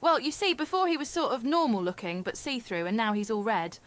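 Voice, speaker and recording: A high, dry British voice from an actress plays a woman calling a radio show. She is earnest and friendly, chatting sincerely and openly in a phone-in drama. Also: very animated, fairly quick, fairly bright tone, dry recording.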